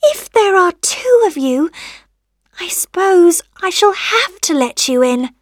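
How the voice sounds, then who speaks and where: Storybook role: little rosebud girl played by a woman, very high, swooping voice, timid yet stubborn, childlike and emphatic. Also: very animated, slow, no echo, studio quality, heavily acted. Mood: reluctant.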